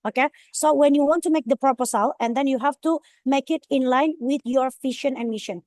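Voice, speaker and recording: A young woman with an Indonesian accent walks through slides on a video call. Her voice sits a little high, and she hedges and restarts often. The sound is dry and close. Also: fairly quick, darkish tone, no room sound. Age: young adult. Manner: hesitant, many fillers and restarts. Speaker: presenter. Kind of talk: online orientation presentation.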